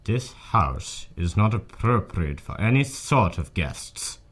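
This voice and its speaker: An actor playing a gloomy, hateful, inhospitable Gothic husband in a radio drama, grumbling and curt. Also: male voice, lowish voice, very animated, slow, heavily acted. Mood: grumpy, incredulous.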